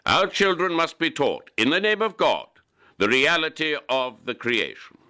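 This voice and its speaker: A man's voice: a little high, sing-song, moderate pace, pushed and bright. A man playing a zealous authoritarian religious ruler, declaiming commands in an audio drama. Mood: stern, zealous. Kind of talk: scripted speech in an audio drama.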